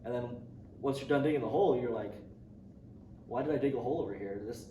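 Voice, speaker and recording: A man's voice: mid-range voice, fast talker, warm tone. A young man from Indonesia answering interview questions casually, with many ums, restarts and light laughs. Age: young adult. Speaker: student interviewee. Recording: roomy, distant mic, noisy.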